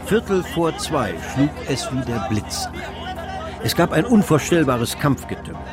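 An actor playing a grave, vivid literary narrator in a radio drama, measured and dramatic. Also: male voice, a little high, big pitch swings, loud music behind the voice, a loud crowd.

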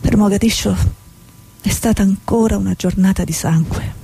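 A woman's voice: mid-range voice, moderate pace, gravelly, dark tone, slight background noise, natural voice. A woman playing a stubborn, outspoken war correspondent in a stage drama, speaking in an earnest, insistent way. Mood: grave reporting. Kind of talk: stage drama acting with dramatic reportage monologue.

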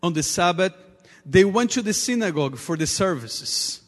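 A man with a Portuguese accent, a native Portuguese speaker, preaching a sermon earnestly in halting English with repeated words. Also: high-pitched, lively intonation. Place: large reverberant church room. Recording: reverberant hall with long echo.

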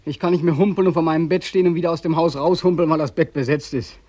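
An actor in a radio play as a weary, despairing twenty-five-year-old war veteran, pleading and bitter. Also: male voice, fairly high pitch, steady tone, fast talker, mellow, no echo, close to an everyday voice. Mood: desperate. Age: young adult.

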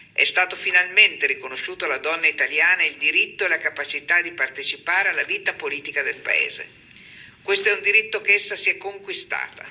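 Female 1940s-style radio announcer, sharp, forceful, solemn and declamatory. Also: low-pitched, rough recording. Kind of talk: formal scripted 1940s radio address.

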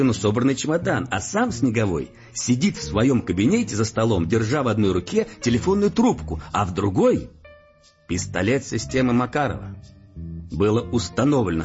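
Low-voiced, animated, officious investigator. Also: male voice. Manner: deliberate, mock-courteous, officious, recites facts like a report. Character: Igor Petrovich, male police investigator, smoothly menacing, officious, insinuating. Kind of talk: Russian audio play acting. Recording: dull-sounding audio play with background music. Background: music.

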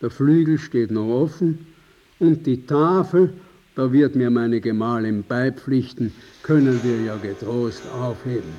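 An actor playing a genial, stately count who speaks with measured courtesy in a German radio drama. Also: male voice, mid-range voice, dark tone, dull audio, close to an everyday voice. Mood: genial, placating.

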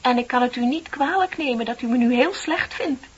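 An actress playing a heartbroken, ashamed young woman confessing in a radio play, dramatic and drifting into breathy near-whispers. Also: high-pitched, fairly quick. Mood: agitated confession. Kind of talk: Dutch radio play acting. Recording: muffled radio play recording with tape hiss.

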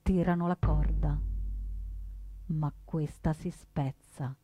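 A woman with a slightly low voice narrates a radio theatre piece in a solemn, emphatic way. Her phrasing is deliberate and dramatic, recorded dry with faint guitar music behind her. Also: fairly even intonation, mellow, husky, no room sound. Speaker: actress and narrator.

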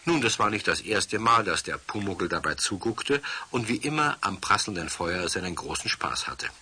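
A man playing the storyteller of a children's radio play, husky, narrating in a measured and gently wry way. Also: deep voice, animated, a little hiss or hum, exaggerated voice. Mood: wry storytelling.

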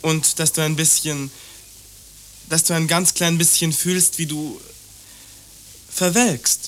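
An actor playing an obtuse, prejudiced young lover in a radio play, condescending and evasive. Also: male voice, on the high side, steady tone, slow, a bit bright, slight background noise. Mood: teasing. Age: young adult. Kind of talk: German radio play dialogue.

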